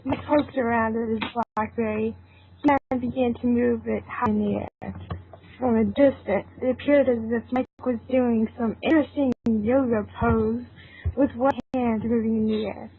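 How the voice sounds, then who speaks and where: A woman's voice: steady tone, normal speed. A woman reading out a story as narrator in an amateur group reading, sometimes chatting casually. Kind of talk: scripted storybook narration mixed with casual chat. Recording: tinny, noisy low-grade voice chat line.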